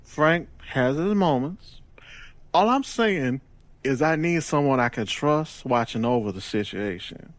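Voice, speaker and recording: An actor playing a smooth gangster boss in an audio drama, with a deliberate, self-assured, persuasive delivery and sly wit. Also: male voice, medium pitch, expressive, dry recording, close to an everyday voice. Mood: smug, businesslike.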